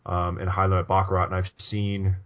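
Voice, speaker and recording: A man's voice: deep voice, monotone, brisk, muffled recording. A man co-hosting a poker podcast in casual chat, rambling with lots of restarts and fillers. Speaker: podcast co-host.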